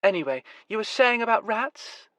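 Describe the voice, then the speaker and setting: A man's voice: high voice, sing-song, normal speed, fairly bright tone, no echo, voice pushed up. A man playing a dry, mock-grand woman. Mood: wry. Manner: dry, mock-formal, teasing.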